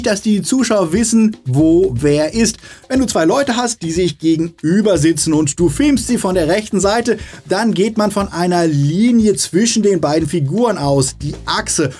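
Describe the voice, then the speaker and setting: A man in his forties with a high, husky voice delivers a scripted film analysis. He explains like an exasperated, animated host, making his case point by point. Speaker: video essay host.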